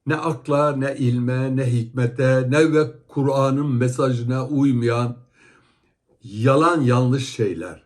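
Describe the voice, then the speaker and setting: A man's voice: mid-range voice, slow pace. A man giving heated commentary that criticizes religious videos, scolding and asking rhetorical questions.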